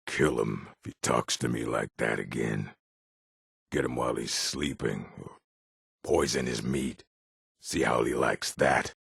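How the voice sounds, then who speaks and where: An actor voicing a gruff, surly orc bandit for a video game, with a gravelly, husky voice and rough colloquial speech. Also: male voice, extremely low pitch, measured pace, fairly bright tone, no echo, studio quality, full character voice. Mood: sullen, threatening. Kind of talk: video game character voice acting.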